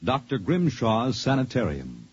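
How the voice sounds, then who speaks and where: A man reading scripted 1950s radio narration in a solemn, portentous, measured way. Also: medium pitch, wildly swooping pitch. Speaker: radio announcer. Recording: dull vintage radio recording, music behind.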